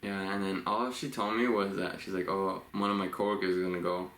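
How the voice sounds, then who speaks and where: A man in his late twenties from Los Angeles, co-hosting a couple's Q&A vlog in a relaxed, rambling way with frequent laughs. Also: sits low, fairly quick. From Los Angeles, USA. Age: 20s. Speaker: vlogger co-host. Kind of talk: casual couple Q&A chat. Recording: echoey room with faint hiss.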